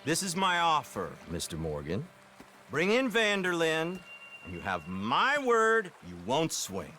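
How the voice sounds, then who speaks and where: An actor playing a smug, coldly righteous detective in a video game, speaking formally and deliberately with condescending menace. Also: male voice, a little high, huge pitch swings, pushed and bright, slight background noise, heavily acted. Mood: cool, official. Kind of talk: video game cutscene acting.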